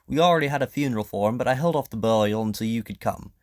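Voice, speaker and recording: A man's voice: mid-range voice, very fast, no room sound, studio quality, natural voice. A man acting a proud, earnest king in an audio drama, speaking formally and emphatically with indignation and pleading. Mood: frank, earnest.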